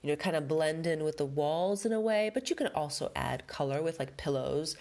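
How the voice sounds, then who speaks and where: Middle-aged woman with a low voice and a stuffed-up nose, rambling chattily as a podcast host. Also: a little fast, a little mellow. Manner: chatty, rambling, many fillers. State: stuffed up with a head cold. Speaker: podcast host, home stager. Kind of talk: casual solo podcast talk.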